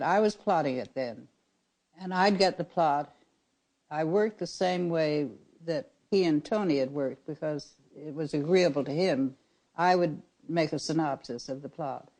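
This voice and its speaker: An older woman reminiscing as a radio writer, telling anecdotes in an unhurried, chuckling way. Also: low-pitched, mellow, low-quality recording. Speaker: radio writer recalling her work. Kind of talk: spoken reminiscence.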